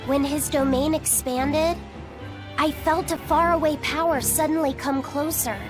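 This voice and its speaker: A young boy in a fantasy game. The voice is high and slow, serious and sensitive, with faint music behind it. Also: fairly even intonation. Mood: grave. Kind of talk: dramatic English game voice acting.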